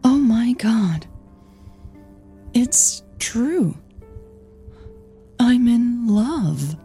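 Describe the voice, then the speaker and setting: Woman voicing an earnest, flustered young singer, lightly pitched. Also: animated, extremely slow, mellow, soft background music, heavily acted. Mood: flustered, awed.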